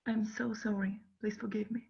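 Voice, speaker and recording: A woman's voice: medium pitch, level tone, normal speed, creaky, a little mellow, slightly rough. A woman reading the part of an anxious, stubborn teenage girl in an amateur script table read. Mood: remorseful. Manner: defiant, exasperated. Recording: dull lo-fi recording.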